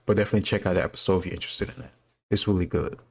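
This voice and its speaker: Casting: male, very low voice, little pitch movement, off-the-cuff explainer who thinks aloud. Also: steady tone, racing, vocal fry, breathy edge. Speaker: host answering history quiz questions. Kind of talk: casual reaction commentary, thinking aloud.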